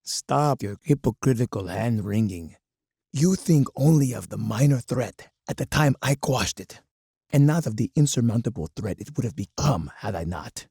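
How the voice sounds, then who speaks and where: A man playing a stern, uncompromising army colonel in a strategy game, speaking in measured declarations with a gravelly, husky voice. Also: medium pitch, expressive, mellow, dry recording, studio quality. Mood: indignant. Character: uncompromising hawkish male army colonel, grim military strategist. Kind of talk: scripted game voice lines.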